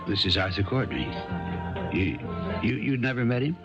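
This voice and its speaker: An actor in a 1970s radio drama playing a calm, persistent small-town sheriff who asks halting questions. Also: male voice, mid-range voice, natural voice. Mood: probing, casual. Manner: hesitant, halting questions with ums and uhs. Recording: dull 1970s radio broadcast, music behind.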